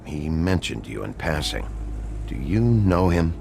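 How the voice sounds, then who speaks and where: A man playing a gruff, world-weary, curt but protective detective in a video game, with a low growl. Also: big pitch swings, unhurried, noisy background, heavily acted. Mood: businesslike.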